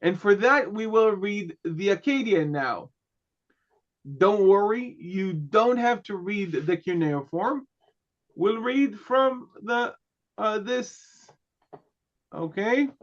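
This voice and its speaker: A man teaching a class, a native Hebrew speaker who lectures in a relaxed way, joking and often checking in with "okay" and "right". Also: high-pitched, takes it slowly, dull audio.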